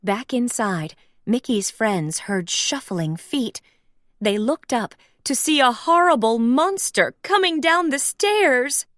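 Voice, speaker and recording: A woman narrating a children's storybook aloud in an expressive read-along style. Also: fairly high pitch, takes it slowly, no room sound, studio quality.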